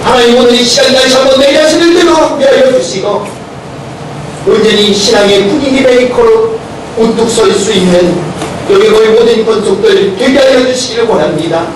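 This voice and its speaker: Hoarse high-voiced preaching man, echoey church. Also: brisk. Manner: preaching loudly with rising intensity, asks the congregation questions. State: hoarse from a cold. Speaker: senior pastor. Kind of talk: sermon and closing prayer. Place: church sanctuary. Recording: very echoey church hall recorded from a distance with noise.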